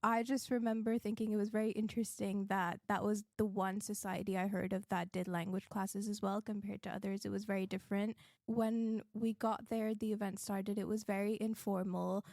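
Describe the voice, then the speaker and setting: A young woman from Pakistan, a student guest, speaking in a measured, steady way. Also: medium pitch, no room sound, clean studio sound. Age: young adult. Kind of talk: casual chat.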